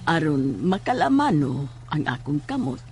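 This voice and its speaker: A woman playing a stern, secretive mother in a horror audio drama, speaking deliberately and probingly. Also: sits low, very animated, a little mellow, slight background noise. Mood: ominous. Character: stern, secretive mother questioning her schoolgirl daughter.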